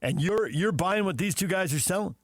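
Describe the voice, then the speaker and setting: An American man hosts sports talk radio in a fairly high voice. The sound is dry and close, and his delivery is conversational banter, like a studio show. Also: expressive, fairly quick, no echo.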